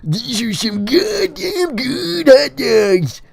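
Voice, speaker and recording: A man voicing a loud, belligerent, fearless teenage boy in a comic story, shrill, strained and yelling profanely. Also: expressive, drawn-out words, gravelly, a crowd in the background, heavily acted. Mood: excited yelling.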